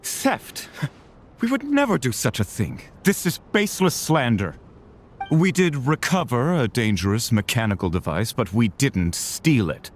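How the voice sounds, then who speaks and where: Earnest, animated guardsman. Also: medium pitch, unhurried, a little hiss or hum. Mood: indignant. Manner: formal, emphatic. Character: earnest, indignant devout guardsman of a moon order. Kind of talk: video game cutscene acting.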